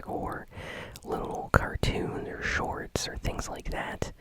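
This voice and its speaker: A gaming news host whispering a casual ASMR news roundup, chatty and unhurried with frequent fillers. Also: very high-pitched, poor audio.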